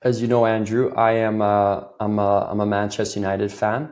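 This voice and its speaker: Guy getting over a cold, lowish voice, chatty with lots of ums, laughs easy. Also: flat delivery, moderate pace, darkish tone. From Ireland. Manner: loose, filler-heavy, laughs easily. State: recovering from a cold, voice a bit off. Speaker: guest, business consultant. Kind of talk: conversational interview.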